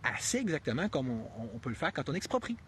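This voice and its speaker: A man's voice: medium pitch, sing-song, quick pace, a bit bright, a little hiss or hum. Rambling Québécois man thinking aloud. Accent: Québécois French. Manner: rambling, thinking aloud with many restarts and fillers; earnest, with bursts of emphatic rhetorical questions. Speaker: live streamer. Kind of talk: unscripted live commentary.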